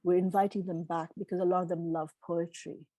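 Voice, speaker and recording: A woman's voice: on the low side, little pitch movement, brisk, dark tone, dry recording, low-quality recording. A middle-aged woman whose first language is Gujarati, answering questions informally as a keynote speaker in an encouraging, earnest way. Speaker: keynote speaker, literary publisher.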